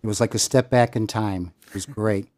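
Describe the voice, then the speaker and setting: A man's voice: on the low side, fairly even intonation, brisk, a little mellow. An older man co-hosting a casual podcast, easygoing as he reminisces. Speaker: podcast co-host.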